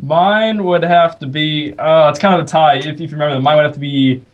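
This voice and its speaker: Higher-pitched American man, casual interviewee. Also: brisk, muffled recording. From United States, Florida. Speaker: rock band member, interviewee.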